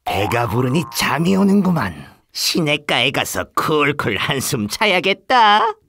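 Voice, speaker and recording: 느릿하고 걸걸한 동화 속 늑대. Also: male voice, on the high side, very animated, unhurried, pushed and bright, dry recording, studio quality, exaggerated voice. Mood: smug, satisfied. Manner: theatrical, drawling, self-satisfied. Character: greedy, smug big bad wolf in a fairy tale. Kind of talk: children's storybook voice acting.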